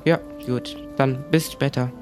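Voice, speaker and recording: A man in an improvised adventure roleplay, talking conversationally and haltingly as he searches for words. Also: medium pitch, fairly even intonation, darkish tone. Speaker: player in an improvised pirate adventure audio play. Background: faint music.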